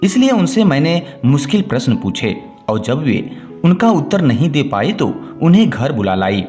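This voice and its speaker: A man's voice: fairly high pitch, big pitch swings, normal speed, darkish tone. A man voicing a clever, dutiful young daughter-in-law in a folk tale, explaining herself politely and with assurance. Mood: confident, explaining. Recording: music bed under the voice.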